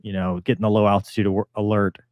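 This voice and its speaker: Casual, low-voiced man explaining, dry close sound. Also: level tone, fast, darkish tone, no room sound. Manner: conversational, explanatory, uses pilot jargon. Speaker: host, former fighter and airline pilot commentator. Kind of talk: casual explanatory reaction commentary. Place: small home room, on a video call.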